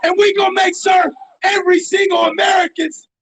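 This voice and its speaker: A man at an outdoor street protest, shouting chants and leading the crowd in call-and-response. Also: very high-pitched, little pitch movement, brisk, vocal fry. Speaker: protest rally speaker. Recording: loud crowd, noisy outdoor rally.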